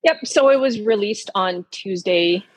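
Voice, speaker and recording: A woman's voice: medium pitch, measured pace, slightly rough, dry recording, low-quality recording. A woman talking casually as an interview guest, a cookbook author answering questions in a conversational way.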